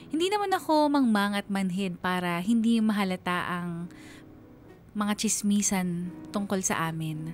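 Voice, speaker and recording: An actress voices an anxious mother narrating her own radio drama. She speaks at a medium pitch in a heartfelt, confiding way, with faint music behind her. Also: natural voice. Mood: uneasy.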